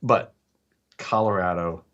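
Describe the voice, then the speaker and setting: Guest, male, nasal tone, low pitch, rambling easy chat. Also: slow pace, fairly bright tone, muffled recording. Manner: laughs often, rambling. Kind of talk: casual live-stream chat.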